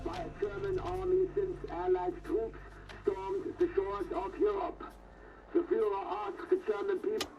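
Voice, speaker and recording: A man's voice: very high voice, sing-song, a little slow, gravelly, long pauses, highs cut off, heavily acted. A man playing a fervent wartime propaganda announcer, declaiming through an old radio filter. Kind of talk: 1940s radio broadcast acting. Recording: band-limited old radio with music behind.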